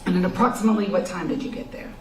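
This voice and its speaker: Woman prosecutor, low voice, formal polite questions, echoey room, heard from a distance. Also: steady tone, racing, a little mellow. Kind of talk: courtroom questioning. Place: courtroom. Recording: echoey courtroom, distant mic, noisy.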